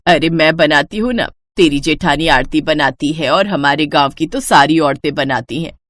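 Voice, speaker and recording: A woman's voice: sits low, moderate pace, a bit bright, pitched below the performer's own voice. A woman voicing a simple, mild older village mother-in-law in a storybook tale, homely and naive. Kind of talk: storybook acting.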